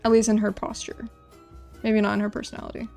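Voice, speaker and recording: A woman's voice: mid-range voice, monotone, quick pace, vocal fry, a little mellow, faint music behind. A woman talking informally on a livestream while she draws, rambling and often repeating words as she thinks aloud and answers chat. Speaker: livestreamer drawing and designing game characters. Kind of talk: casual livestream chat while drawing, reading out chat messages.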